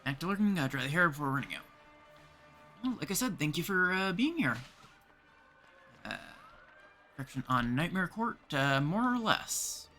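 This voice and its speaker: A man's voice: on the high side, animated, moderate pace, pauses often, sharp tone, soft background music. A man from Canada chatting casually as he livestreams a game.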